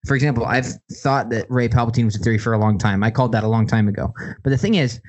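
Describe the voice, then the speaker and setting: A man's voice: mid-range voice, fast talker. A man co-hosting a podcast, explaining his points in casual film discussion. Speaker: podcast co-host.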